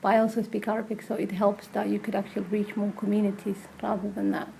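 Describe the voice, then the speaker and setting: A woman's voice: medium pitch, level tone, fast, gravelly, mellow, a little hiss or hum, rough recording. A woman who works as a community health practitioner, talking conversationally in an interview.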